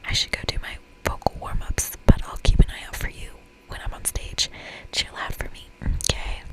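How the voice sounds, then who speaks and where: A woman's voice: normal speed, natural voice, poor audio. Woman whispering as a flirty, brash goth witch. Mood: fond, teasing. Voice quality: whispered, breathy. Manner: teasing, cocky, flirtatious.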